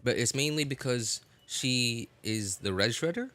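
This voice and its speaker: A man chatting casually on a game livestream, often halting and rephrasing as he answers viewers. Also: medium pitch, lively intonation, bright, forceful tone. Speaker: live streamer. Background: music.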